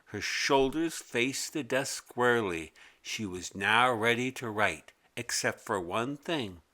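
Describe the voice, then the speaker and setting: A man narrating a scripted children's story in an expressive, deliberate way. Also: mid-range voice. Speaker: author narrating his own children's story.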